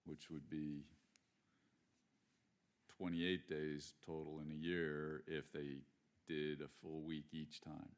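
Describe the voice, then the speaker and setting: A township supervisor thinking aloud in a meeting, weighing options with a dry sense of humor. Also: male voice, rumbling low, flat delivery, slow pace, long pauses. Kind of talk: meeting discussion.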